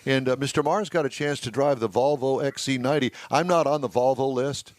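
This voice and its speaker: A man's voice: medium pitch, fairly quick, a little mellow, no room sound. A man hosting a radio show, moving segments along and teasing his co-hosts. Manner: joking, teasing. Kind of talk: casual radio banter.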